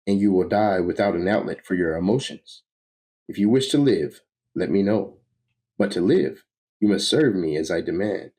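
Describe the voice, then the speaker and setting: Guy as an icy comic book overlord, low mellow voice, imperious and menacing. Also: expressive, normal speed. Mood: commanding. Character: cold, commanding, menacing unseen cosmic villain. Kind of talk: comic book read-aloud.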